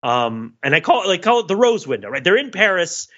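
A man co-hosting a casual, analytical film discussion, talking in an animated, enthusiastic way with many rambling asides. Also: high-pitched, a little fast, dull audio. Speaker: podcast co-host.

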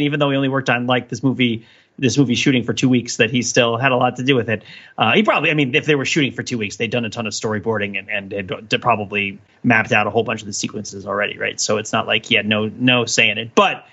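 A man's voice: on the high side, bright, forceful tone, dull audio. A man co-hosting a podcast, casually discussing films with jokey mock-serious analysis. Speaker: podcast co-host.

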